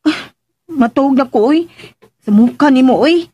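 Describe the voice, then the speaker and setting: A woman's voice: sits high, animated, unhurried, dark tone, slightly rough, dry recording, exaggerated voice. An actress playing a flustered, feisty young working woman in a radio drama, exclaiming and stammering protests with a Filipino Visayan accent. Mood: flustered, protesting.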